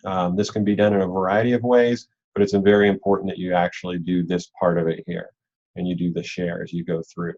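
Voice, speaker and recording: A man with a fairly low voice talks coaches through material on a conference call. His delivery is informal and instructional, circling back to key points. The sound is dull and a bit lo-fi, like computer call audio. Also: flat delivery, brisk, a little mellow. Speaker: robotics league organizer and coach leading a call.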